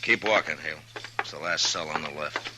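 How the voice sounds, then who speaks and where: A man's voice: a bass voice, brisk, heavy background noise. A man acting a stern, dry American frontier marshal in a 1950s radio western, with a curt, commanding way of talking. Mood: hard, commanding. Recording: 1950s radio recording with music.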